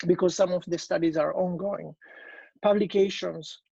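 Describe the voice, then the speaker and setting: A man's voice: high-pitched, steady tone, moderate pace, creaky, dark tone, slightly rough, no room sound, dull audio. A man with an Italian accent giving an online business presentation, hesitant and full of fillers and restarts. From Italy.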